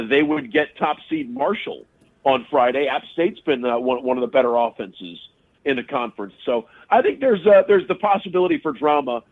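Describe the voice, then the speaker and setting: Male sports radio guest, phone-like thin sound, conversational analysis, halting delivery with repeated words, medium pitch. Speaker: sports radio host and play-by-play broadcaster, podcast guest. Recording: tinny, phone-like remote connection.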